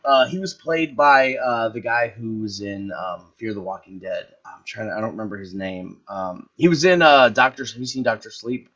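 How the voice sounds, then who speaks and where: Rambling, eager man, bright voice. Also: lowish voice, normal speed, few pauses, lo-fi sound. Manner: rambling, enthusiastic, gropes for names. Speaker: podcast co-host. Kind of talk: casual chat about movies.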